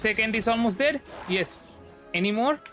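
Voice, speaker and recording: High-voiced young Bengali man streaming a game. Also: animated, normal speed, a bit bright. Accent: Indian Bengali. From India. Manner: hesitant, rambling, repeats himself. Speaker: livestreamer, VTuber. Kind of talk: casual gameplay commentary. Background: game music.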